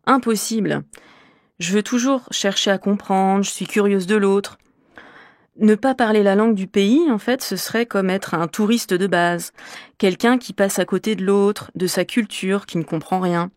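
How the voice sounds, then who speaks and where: A woman's voice: mid-range voice, normal speed, darkish tone, dry recording, studio quality, lightly acted. A woman playing a middle-aged French teacher from Normandy, answering interview questions in an articulate, explanatory way for a language course. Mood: composed. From Normandy, France. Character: middle-aged female French teacher, curious and well-travelled.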